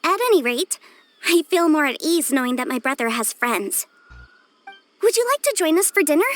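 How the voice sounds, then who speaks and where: A woman playing a caring older sister with a gentle manner, in a video game. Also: high voice, very animated, normal speed, no room sound, faint music behind. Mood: kind.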